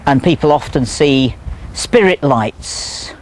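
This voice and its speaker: A man guiding a paranormal documentary tour to camera, telling eerie local history in measured, short phrases. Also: medium pitch, expressive, rough recording. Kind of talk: documentary guide talk to camera. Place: outdoors among castle ruins.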